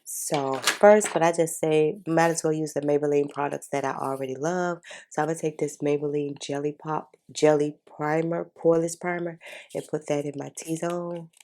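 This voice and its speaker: A congested woman talks viewers through her makeup in a casual, chatty way. Her voice sits low and carries a gravelly fry, with a dark, mellow tone. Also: fairly even intonation, normal speed. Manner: chatty, informal, addresses viewers as y'all. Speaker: beauty vlogger. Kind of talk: casual makeup tutorial chat.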